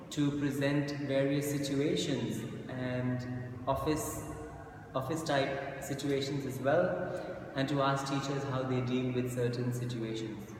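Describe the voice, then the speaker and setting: A man's voice: mid-range voice, a little mellow. A man from India, an English teacher, interviewing a colleague politely and encouraging him with short replies. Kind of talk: structured interview, reading questions. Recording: echoey room, distant mic, noisy.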